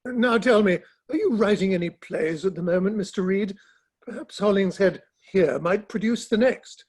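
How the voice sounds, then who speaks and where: A man in a play reading, playing an affable Victorian gentleman painter with a husky voice. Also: high voice, normal speed. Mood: affable, curious.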